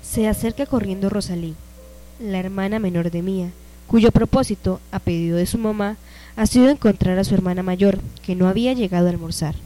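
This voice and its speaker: A woman narrating a youth radio drama with lively storytelling. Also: mid-range voice, brisk, soft background music, close to an everyday voice, rough recording.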